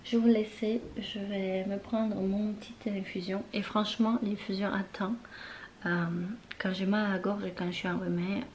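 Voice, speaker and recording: A young woman vlogging casually from her bedroom, rambling with lots of fillers and often dropping into a soft whisper. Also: medium pitch, slight background noise. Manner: chatty and rambling, many fillers and trailing phrases. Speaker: beauty vlogger. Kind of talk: casual chat to camera.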